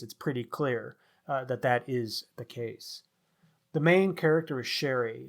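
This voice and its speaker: A man co-hosting a podcast, talking through a book review. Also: medium pitch. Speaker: podcast co-host.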